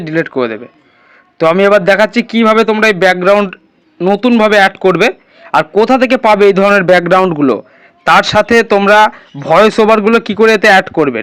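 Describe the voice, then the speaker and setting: A man's voice: high voice, a bit bright, clear, resonant tone. A man hosting an informal step-by-step tutorial, instructing and often checking that listeners follow. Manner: instructional, repeatedly checking understanding. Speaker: tutorial host.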